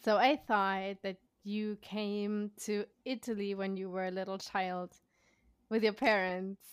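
A woman's voice: mid-range voice, fairly even intonation, a little slow, smooth, no echo. A woman hosting a podcast, friendly and chatty, asking questions with little laughs and backchannels. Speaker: podcast host. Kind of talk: casual interview conversation.

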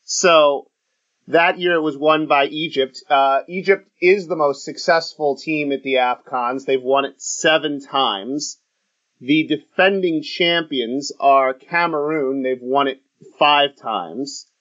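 A man hosting a casual sports podcast, talking in a halting way with many fillers and restarts. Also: a little high, a bit bright. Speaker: podcast host. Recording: muffled lo-fi audio.

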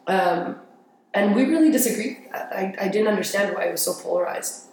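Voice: medium pitch, fairly quick, a little mellow. A young adult from Paris telling stories casually as an interview guest, explaining things informally and often checking for agreement. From grew up in Paris, France. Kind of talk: casual conversational storytelling. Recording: very echoey room with faint noise.

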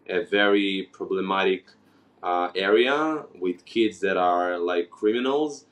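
Slow, hesitant low-voiced man. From Ukraine, raised in Israel. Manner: hesitant, many fillers and restarts. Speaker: guest. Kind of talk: casual interview conversation.

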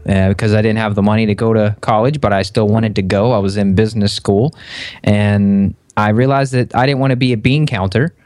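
A man in his twenties, a podcast guest, talking in a relaxed, conversational way. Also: fairly low pitch, clean tone, no echo, clean studio sound. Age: 20s. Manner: relaxed, conversational, frequent fillers. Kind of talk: casual interview chat.

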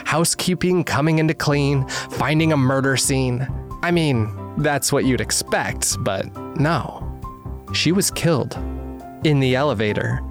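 An actor playing a wry amateur sleuth, musing conversationally in an audio drama. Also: male voice, medium pitch, a little slow, natural voice. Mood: wry, intrigued. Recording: music under voice.

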